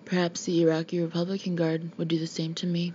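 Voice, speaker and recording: A woman's voice: lowish voice, monotone, quick pace, dark tone. A woman reading a memoir aloud as scripted audiobook narration. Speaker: audiobook reader. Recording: dull lo-fi home recording, background noise.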